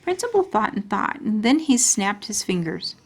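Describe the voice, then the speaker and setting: A woman storyteller reading a children's book aloud in expressive narration. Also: mid-range voice, normal speed, slightly rough.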